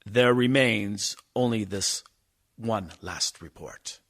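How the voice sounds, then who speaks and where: An actor playing a grave doctor who formally presents evidence in a radio play, in a measured manner. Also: male voice, lowish voice, fairly bright tone, heavily acted.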